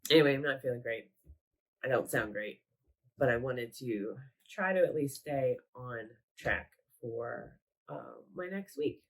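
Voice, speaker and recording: A woman's voice: extremely low pitch, unhurried, low-quality recording. Congested, nasal woman chatting casually. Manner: chatty, rambling, self-correcting. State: sick, congested. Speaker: craft vlogger. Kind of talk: casual show-and-tell vlog. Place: at home.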